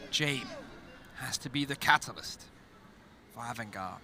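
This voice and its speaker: A man with a Danish accent, a native Danish speaker, casting a game as an esports commentator, excited and analytical. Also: medium pitch, unhurried, soft background music. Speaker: esports caster.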